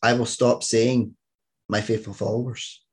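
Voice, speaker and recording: A man's voice: medium pitch, flat delivery, measured pace, husky. A Scottish man in his thirties hosting a podcast interview, telling stories in a rambling way with many restarts. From Scotland. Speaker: podcast host. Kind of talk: casual interview chat.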